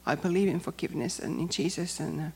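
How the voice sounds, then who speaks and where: A woman from Finland with a Finnish accent, speaking English as a second language and giving a personal testimony in a careful, hesitant way with frequent pauses. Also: deep voice, darkish tone, breathy edge, rough recording. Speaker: church member giving testimony.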